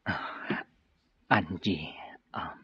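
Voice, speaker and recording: Husky, coaxing young suitor. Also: male voice, low voice, very slow, no room sound, whispered, muffled recording, heavily acted. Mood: coaxing, amorous. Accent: Ilocano. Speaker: radio drama actor. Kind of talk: Ilocano radio drama acting.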